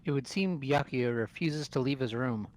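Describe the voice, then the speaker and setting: A man's voice: medium pitch, moderate pace, a little mellow, lightly acted. A man playing an earnest, formal, worried schoolboy in a fan-voiced visual novel game. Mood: urgent, worried.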